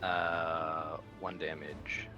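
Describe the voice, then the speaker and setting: A man's voice: extremely low pitch, level tone, very slow, highs cut off, over music. A man playing a tabletop roleplaying game, chatting casually about his moves. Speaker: player.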